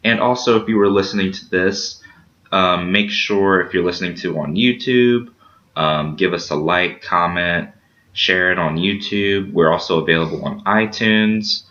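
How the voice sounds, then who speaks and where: Male podcast host, very low and bright-edged, loose chatty delivery, dull lo-fi recording. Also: measured pace. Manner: rambling, filler-heavy promo reads. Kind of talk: casual podcast chat. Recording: dull lo-fi sound.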